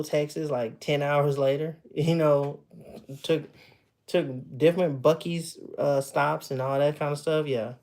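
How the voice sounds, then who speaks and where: A man's voice: on the high side, a little mellow. A man in his thirties chatting casually with viewers on a livestream from home, loose and chatty, often laughing and trailing off mid-thought. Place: at home with memorabilia behind him.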